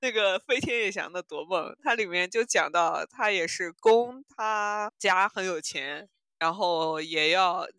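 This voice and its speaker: A woman podcast host with a bright, crisp-edged tone in a very dry recording. She chats casually and giggles easily. Her phrasing is animated and halting, with words repeated as she goes. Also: on the low side, no echo.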